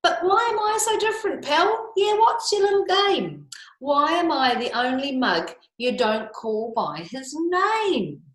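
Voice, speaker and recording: Woman voicing an indignant grumpy koala, swooping pitch. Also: big pitch swings, a little slow, echoey room. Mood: exasperated. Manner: blunt, protesting, Australian slang. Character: grumpy indignant male koala, fed up with being misnamed.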